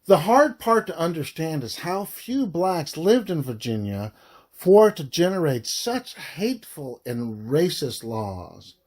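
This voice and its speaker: Guy reading an audiobook, really slow and deliberate, lots of pauses, voice a bit on the high side. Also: a little mellow. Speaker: author reading own audiobook. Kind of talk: scripted narration, audiobook reading.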